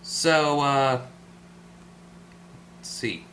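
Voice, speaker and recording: A man's voice: medium pitch, very slow, fairly bright tone, slight background noise. A man hosting a hands-on electronics tutorial, explaining casually and off the cuff, thinking aloud and correcting himself as he goes. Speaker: host of an electronics repair tutorial.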